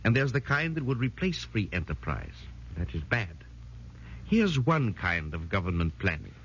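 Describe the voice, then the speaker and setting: An actor playing an earnest foreign professor who lectures formally and emphatically in a 1940s radio drama. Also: male voice, on the low side, very animated, moderate pace, slight background noise. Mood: earnest, didactic. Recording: muffled 1940s radio broadcast.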